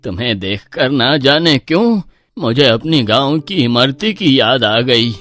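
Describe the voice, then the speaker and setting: An actor playing an opinionated, lecherous village uncle in a cartoon story, with an emphatic manner. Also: male voice, medium pitch, big pitch swings, measured pace, a bit bright, soft background music. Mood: flirtatious. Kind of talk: Hindi cartoon horror story.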